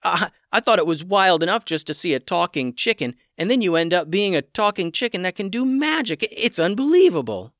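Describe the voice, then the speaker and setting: A man's voice: on the high side, expressive, a little slow, fairly bright tone, no echo, clean studio sound. A man voicing an earnest young boy who stammers, in a storybook reading. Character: eager young boy, earnest, easily amazed.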